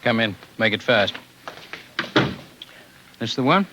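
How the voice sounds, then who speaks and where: An actor in a 1950s radio drama playing a composed underground leader, explaining things in a brisk, formal way. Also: male voice, mid-range voice, lively intonation, heavy background noise. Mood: brisk, authoritative. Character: composed, authoritative male underground rebel leader. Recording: old 1950s radio recording.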